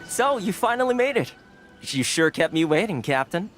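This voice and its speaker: A breezy young fixer who teases with a lively, bouncing pitch. His voice is smooth and relaxed. Music sits clearly underneath the game dialogue. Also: normal speed. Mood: teasing. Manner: playful, teasing. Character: friendly easygoing young male fixer, teasing. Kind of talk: video game voice acting. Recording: game dub with music behind.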